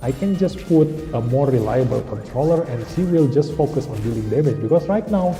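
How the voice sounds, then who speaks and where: A man's voice: medium pitch, lively intonation, a little fast, dark tone. A young man from Indonesia, chatting casually on a game stream and drifting off topic. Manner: rambling, often loses the thread. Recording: roomy echo with long reverb, faint music.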